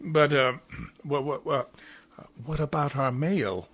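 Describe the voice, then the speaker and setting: Man playing a slow, forceful missionary reverend. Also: on the high side. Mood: flustered worry. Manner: halting, solemn, formal. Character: pious, earnest missionary reverend, a stern man. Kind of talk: amateur radio play acting in old Hollywood style.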